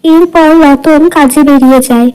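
A woman's voice: sits high, fairly even intonation, moderate pace, rough recording. A woman narrating a scripted fairy tale as storyteller. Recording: music under the voice.

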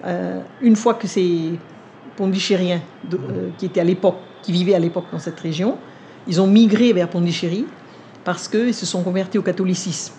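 Older woman with Vietnamese as her first language, low mellow voice, unhurried and reflective, interview in a living room. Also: steady tone, a little hiss or hum. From India. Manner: measured, thoughtful, with frequent pauses and hesitations. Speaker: cookbook author. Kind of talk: sit-down interview.

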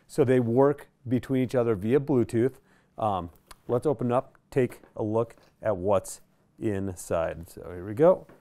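A middle-aged man with an American accent and a mid-pitched voice gives casual product commentary. He is laid-back and conversational, talking through his thoughts as he handles things at a table. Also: measured pace, warm tone. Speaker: product reviewer and presenter. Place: indoor radio workshop.

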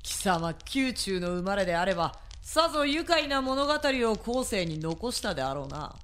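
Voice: medium pitch, big pitch swings, normal speed, fairly bright tone, a little hiss or hum. An actor playing a cool, commanding young samurai general in a radio drama, speaking in terse, lordly lines. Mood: curt, commanding.

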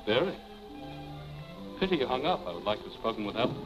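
Actor as suave husband, very lively delivery. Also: male voice, mid-range voice, fast talker, muffled recording, close to an everyday voice. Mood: wryly sparring. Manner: suave, wry banter. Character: suave, irritable philandering husband. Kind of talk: 1940s Hollywood film acting. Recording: old film soundtrack, music behind.

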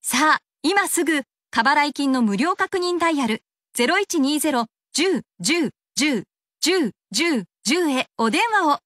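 A woman's voice: sits high, animated, measured pace, fairly bright tone, no room sound, studio quality. A woman reading a scripted radio commercial. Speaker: advertisement announcer.

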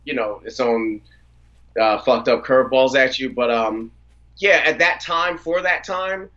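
A man in his thirties from the Washington DC area, a rapper telling relaxed stories about his family and career as a guest on a video-call interview. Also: mid-range voice, measured pace, lo-fi sound. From United States, Washington DC area. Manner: relaxed storyteller, laughs easily. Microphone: video call from a phone or laptop.

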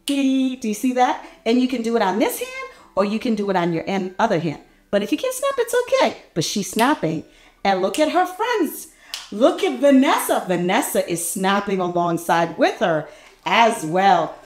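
A woman reading a picture book aloud to small children in an animated, encouraging, exaggerated way, inviting them to join in. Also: a little high, reverberant. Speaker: read-aloud storyteller for young children. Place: small furnished indoor room.

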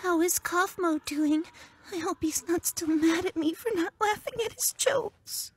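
A woman's voice: very high-pitched, a little slow, no room sound. A woman voicing a meek, worried masked ribbon creature in a cartoon, earnest in manner. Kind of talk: animated series voice acting.

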